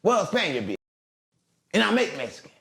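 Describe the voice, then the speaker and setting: A man talking as an interview guest in casual, rambling chat, animated and repeating his catchphrases. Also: high voice, a little fast, gravelly.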